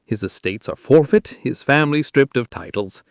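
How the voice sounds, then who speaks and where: A man's voice: mid-range voice, expressive, normal speed, no room sound, clean studio sound, natural voice. Impassioned, commanding king, acted by a male narrator. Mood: grim. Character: fierce, anguished, devoted medieval king in love.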